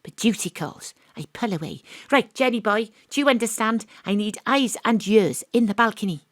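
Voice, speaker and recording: A woman's voice: mid-range voice, expressive, normal speed, no echo, natural voice. Wry woman detective narrator, played by an actress, dry close sound. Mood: conspiratorial. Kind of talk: audio drama first-person narration.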